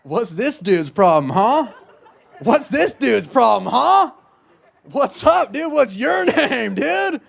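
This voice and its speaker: An American male comedian hosts in a very high voice with lots of gravelly creak. He talks like a brash, mock-tough bro, capping phrases with dude. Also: big pitch swings, takes it slowly. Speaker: comedy show host. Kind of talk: stand-up hosting and bits.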